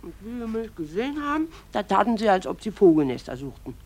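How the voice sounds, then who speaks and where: Chattering, disapproving village gossip. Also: female voice, medium pitch, sing-song, fast, a little mellow. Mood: gossipy. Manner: chattering, indignant. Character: gossipy, disapproving small-town married woman. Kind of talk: literary radio drama.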